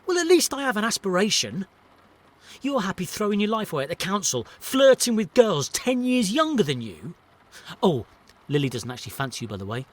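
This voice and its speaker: A man's voice: high-pitched, sing-song, brisk. A man with a British accent playing an earnest, touchy jobbing actor in a naturalistic audio drama, speaking colloquially and hesitantly. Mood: defensive, angry. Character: jobbing actor John, earnest, wistful, touchy, working a council clearance job.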